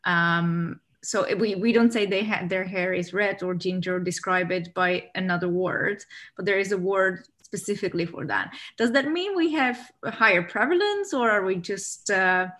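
A woman's voice: medium pitch, a bit bright. Woman co-host, Czech native, reading questions. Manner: measured, reads questions out. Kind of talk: casual video call. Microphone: video call.

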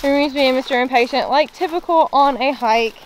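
A woman's voice: sits high, a little fast, slight background noise, poor audio. A young woman chatting casually while hiking, filming herself outdoors at arm's length. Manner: chatty, laughs often, talks to her dog. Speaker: vlogger. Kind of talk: casual hiking vlog chat. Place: outdoors on wooded mountain trails. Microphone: handheld camera at arm's length.

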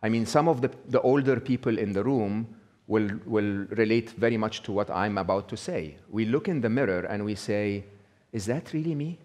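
Middle-aged male speaker, low voice, casual stage talk, keeps asking "okay?". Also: moderate pace, a little mellow. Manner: conversational, checks in with frequent "okay?". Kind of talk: live talk to an audience. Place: small indoor venue with brick wall.

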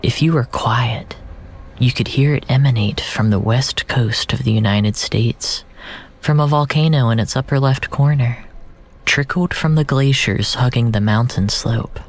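A man narrating first-person fiction in a deliberate, brooding, dramatic way, drawing out his phrases. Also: medium pitch. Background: music.

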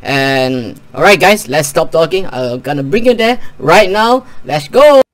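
A young man giving a casual software tutorial, talking himself through each step in an informal, rambling way. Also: on the high side, lively intonation, measured pace, no echo. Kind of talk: casual screen-share tutorial. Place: indoor living room gaming setup. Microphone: close desktop USB microphone.

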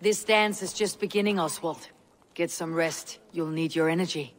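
An actor playing a steely Viking warrior in a video game, with a blunt, dry, confident manner. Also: male voice, a little high, normal speed, slight background noise, natural voice. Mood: firm counsel. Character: steely, taunting Viking warrior, protective of clan. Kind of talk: video game cutscene acting. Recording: music behind the voice.

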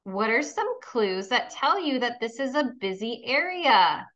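Female kindergarten teacher, unhurried and bright, encouraging read-aloud delivery for little ones, slightly lo-fi sound. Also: fairly high pitch, very animated. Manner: encouraging, instructional, addressing young pupils with questions. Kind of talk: children's read-aloud lesson.

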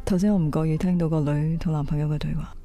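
An actress playing a troubled middle-aged wife in a radio drama, confessional and subdued. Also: female voice, low voice, fairly even intonation, fast talker, warm tone. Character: weary, troubled middle-aged wife confiding in her lover. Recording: music under the voice. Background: music.

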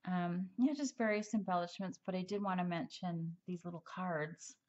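A woman giving a casual craft tutorial at a table, chatty and rambling with frequent ums, slightly hoarse as she loses her voice. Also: fairly low pitch, normal speed, darkish tone. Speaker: crafter showing a handmade project. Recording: dull, lo-fi home recording.

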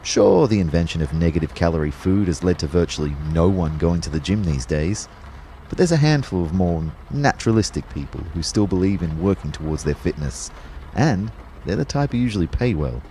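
Low-voiced wry young narrator played by a man. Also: lively intonation, a little fast, mellow, a little hiss or hum, poor audio. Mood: resigned, wry. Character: wry young personal trainer narrating his life. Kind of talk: first-person audio drama narration.

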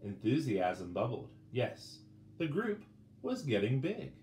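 Animated middle-aged man reading a storybook. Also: medium pitch. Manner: animated, dramatic pauses, asks listeners questions. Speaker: teacher reading a picture book to children. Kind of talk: expressive children's storybook read-aloud. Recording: roomy indoor sound with faint background noise.

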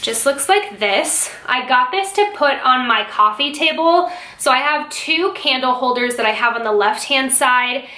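A young American woman talks in a casual, chatty way, as if showing purchases to her online viewers. Her voice sits a little high and sounds very bright. The recording has a clear room echo from an indoor home space. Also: expressive, unhurried. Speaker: home decor YouTuber. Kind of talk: casual haul vlog chat to camera.

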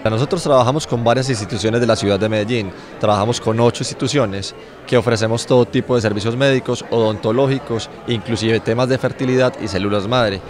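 A man in his thirties, a health services manager, briskly listing services in an interview at a busy indoor expo into handheld mics. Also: medium pitch. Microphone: handheld reporter mics in frame. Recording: crowd chatter behind.

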